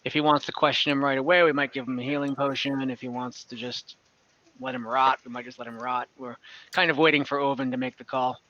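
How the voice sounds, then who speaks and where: A man's voice: medium pitch, steady tone, brisk, bright, forceful tone, no echo, dull audio, close to an everyday voice. A man improvising a casual, matter-of-fact prison official in a tabletop roleplay, with a laid-back, hedging manner.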